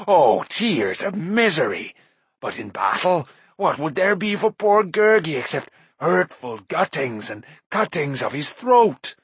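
Storybook creature: high, whining, groveling shaggy beast, breathy. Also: male voice, very animated, measured pace, no echo, full character voice. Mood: groveling whine. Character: whimpering shaggy male forest creature, groveling.

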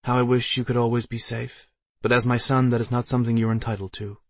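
A man's voice: medium pitch, level tone, quick pace, no echo, close to an everyday voice. A man voicing a proud, commanding female archmage and mother in an audiobook, with a cold, imperious manner. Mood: tender, resolute. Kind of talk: audiobook character voice.

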